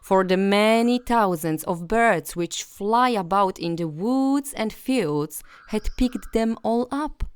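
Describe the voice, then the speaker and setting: Slow, expressive woman telling bedtime stories. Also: medium pitch, clean tone, no echo, studio quality. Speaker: storyteller. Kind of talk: scripted bedtime fairy tale narration.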